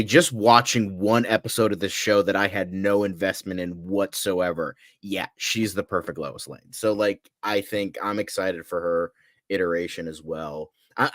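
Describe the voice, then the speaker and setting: A man's voice: deep voice, a bit bright, no room sound, clean studio sound. A man co-hosting a podcast, chatting casually as an opinionated fan in a loose, rambling way full of filler phrases. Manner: rambling, peppered with "like" and "you know". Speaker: podcast co-host.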